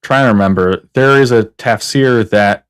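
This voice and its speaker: A man's voice: normal speed, smooth. A man co-hosting a live reaction stream, talking casually and acting out his opponents' replies. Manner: animated, mimics opponents in a higher voice.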